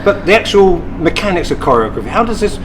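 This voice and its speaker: A man's voice: high voice, very animated, fast, over a noisy crowd. A man working as an interviewer, asking questions in a casual, conversational style. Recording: clear background noise.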